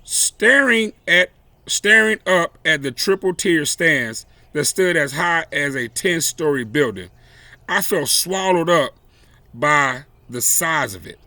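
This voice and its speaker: A man reading a children's story aloud expressively, with deliberate, emphatic phrasing and dramatic pauses. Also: a little high, expressive, pushed and bright, dry recording. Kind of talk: expressive read-aloud storytelling with brief asides.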